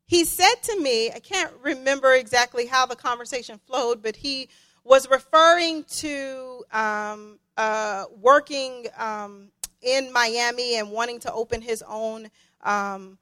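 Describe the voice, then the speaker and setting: A woman delivers a sermon in a high, bright voice. She takes her time, repeating phrases deliberately so that they land with emphasis. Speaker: guest preacher.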